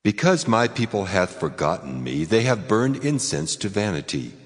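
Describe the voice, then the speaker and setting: A man's voice: lowish voice, sing-song, moderate pace. A man playing a stern, solemn God passing judgment in a dramatized scripture reading, with a grave, commanding, declamatory delivery. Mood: stern, sorrowful. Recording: long cavernous reverb, faint music behind.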